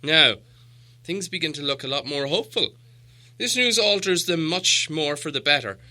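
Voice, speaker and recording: A man's voice: on the high side, expressive, normal speed, pushed and bright, voice pushed up. A man voicing a proud, grumbling dwarf leader in a storybook, weighty and sceptical in manner. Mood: earnest.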